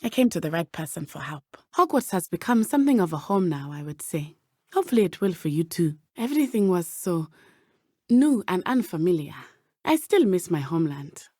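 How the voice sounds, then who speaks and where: Brave teen witch girl from a video game, lively pitch, careful formal wording, dry close sound. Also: no room sound. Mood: earnest, concerned. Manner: precise, measured, formal diction. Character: earnest, brave teenage schoolgirl witch from Uganda. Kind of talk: video game character dialogue.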